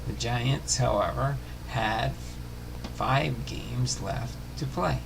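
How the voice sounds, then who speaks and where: A man reading a scripted sports history narration aloud, haltingly, often stumbling and correcting himself. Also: medium pitch, gravelly, noisy background. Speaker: host of a sports history show. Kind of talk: scripted narration read aloud, baseball biography.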